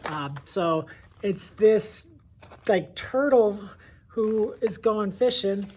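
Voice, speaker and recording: A woman's voice: mid-range voice, lively intonation, very slow, mellow, slightly rough. A woman presenting casual book reviews in an effusive, conversational, enthusiastic way.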